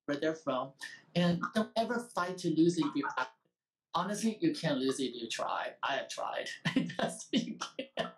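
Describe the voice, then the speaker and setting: A man with a Taiwanese Mandarin accent, hosting a talk show and speaking earnestly and emphatically in an interview. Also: fairly high pitch. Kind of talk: interview conversation. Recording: voice sounds distant from the mic.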